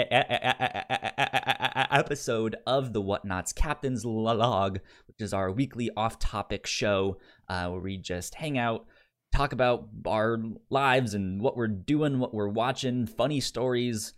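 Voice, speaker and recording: A man hosting a podcast in casual chat, with a stutter that repeats sounds and makes his delivery halting. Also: mid-range voice. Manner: halting delivery with stuck words. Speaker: podcast host.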